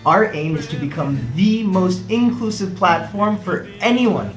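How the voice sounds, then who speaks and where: A man, a startup co-founder giving an earnest pitch with rhetorical questions. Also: expressive, unhurried. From United States. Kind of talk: scripted pitch video. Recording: echoey room, faint music behind.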